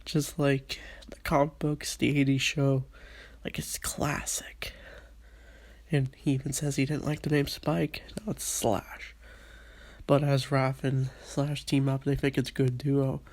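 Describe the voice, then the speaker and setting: A man's voice: mid-range voice, flat delivery, unhurried. A man with a stuffy, under-the-weather voice, eagerly recapping a cartoon episode in a casual solo review.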